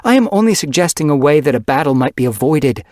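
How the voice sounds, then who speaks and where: A man's voice: a little high, expressive, fast, no echo, clean studio sound, voice pushed up, close to an everyday voice. A man voicing a fierce she-cat leader. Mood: commanding. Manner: forceful, commanding.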